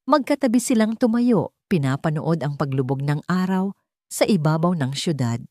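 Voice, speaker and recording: A low-voiced Filipino-accented woman narrates a dramatic audio story. Her phrasing is measured and deliberate, with pauses for weight. The recording is dry and close, with very little room sound. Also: lively intonation, a little mellow, no echo.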